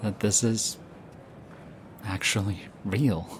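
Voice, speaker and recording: A young man voicing an affectionate, teasing boyfriend in an audio roleplay, speaking softly and hushed. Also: on the low side, extremely slow. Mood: tender, teasing. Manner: soft, hushed, teasing with light laughs. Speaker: voice actor. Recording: steady ambient background noise. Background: busy airport ambience.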